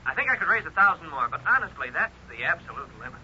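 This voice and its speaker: An actor playing an anxious, cash-strapped client speaking frankly over a telephone in a 1950s radio drama. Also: male voice, fairly high pitch, sing-song, fast talker. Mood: hesitant, frank. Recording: tinny, hissy 1950s radio recording.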